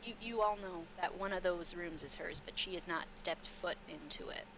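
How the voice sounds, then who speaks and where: A woman narrating a tabletop game as game master, improvising haltingly and repeating phrases for emphasis. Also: lowish voice, a bit bright, low-quality recording. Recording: clear background noise, room ambience.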